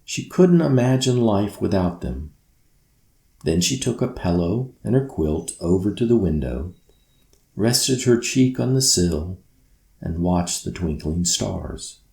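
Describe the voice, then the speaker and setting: A man with a deep, dark, soft-edged voice narrates a children's story at bedtime. He reads slowly and expressively, as a storyteller would. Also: sing-song, gravelly, echoey room. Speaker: author reading own book.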